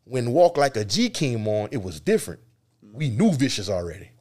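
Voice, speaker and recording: A male host keeps the interview moving in easy street slang. He chimes in constantly with quick affirmations and asks long, winding questions. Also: mid-range voice. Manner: animated, slangy, frequent affirmations. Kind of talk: casual interview chat.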